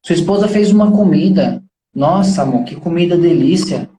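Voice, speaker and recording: A man's voice: a little high, lively intonation, normal speed, dark tone, echoey room, slight background noise, poor audio. A man in his thirties with a Brazilian accent, giving an informal motivational talk in an earnest, preachy and colloquial way, often posing rhetorical questions.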